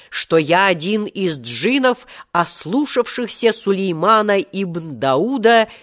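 An ancient male genie from a children's storybook, acted out by a narrator, grandiose and full of menace. He speaks in archaic, pompous phrases with a short temper, at a medium pitch. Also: a bit bright. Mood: solemn, aggrieved.

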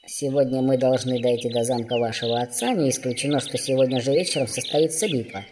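A man's voice: medium pitch, in a large hall, background noise, pitched above the performer's own voice, lightly acted. A man voicing a stern, dutiful dwarf warrior in a storybook, speaking formally and without giving ground. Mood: formal, grave. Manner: formal, measured, unyielding.

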